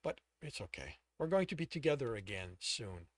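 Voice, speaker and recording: A man voicing a despairing young man who begs softly and with a broken voice. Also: medium pitch, very animated, normal speed, no room sound, voice pushed down. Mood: pleading.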